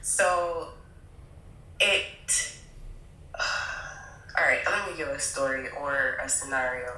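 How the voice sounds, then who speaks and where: A woman's voice: a bass voice, slow pace, sharp tone, roomy sound, slight background noise, low-quality recording. A woman calling into a stream, stuffy from a cold, hesitant and searching for words. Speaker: caller on a live stream. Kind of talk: casual conversation.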